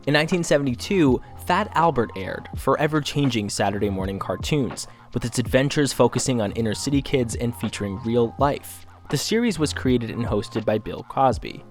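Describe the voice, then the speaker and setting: A man's voice: medium pitch, lively intonation. Male documentary narrator over faint music. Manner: measured, formal, informative.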